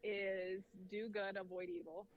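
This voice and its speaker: A woman's voice: medium pitch, flat delivery. Reflective woman coach on dull audio. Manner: reflective and measured, with easy laughs. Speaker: head volleyball coach, podcast guest. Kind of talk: casual interview conversation. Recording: dull lo-fi audio with faint noise.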